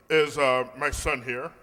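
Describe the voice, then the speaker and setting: A man acts an older, formal father in a radio comedy. His voice is a little high and moves up and down a lot, with halting politeness. A hall's long reverb surrounds it. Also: vocal fry, pushed and bright, breathy edge, heavily acted. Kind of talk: stage-style radio comedy acting. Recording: reverberant hall stage recording.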